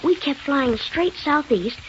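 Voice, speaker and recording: A woman's voice: high-pitched, animated, takes it slowly. A woman playing a plucky injured young boy in a 1930s radio serial, on a muffled, noisy old broadcast. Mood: eager, chatty.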